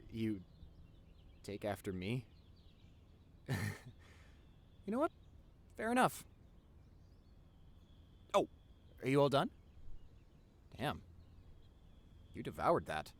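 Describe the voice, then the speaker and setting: Man playing a goofy nerdy boyfriend, animated voice. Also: mid-range voice, drawn-out words, long pauses, heavily acted. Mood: playful. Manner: teasing, stammering when flustered. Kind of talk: intimate boyfriend audio roleplay.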